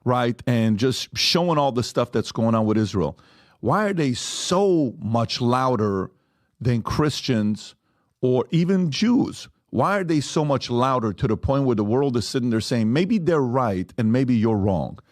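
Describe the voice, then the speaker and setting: A man's voice: mid-range voice, a little mellow, dry recording. A man hosting an interview, asking long, rambling questions with restarts, on a close studio mic. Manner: rambling, restarts and fillers while framing questions. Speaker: podcast host.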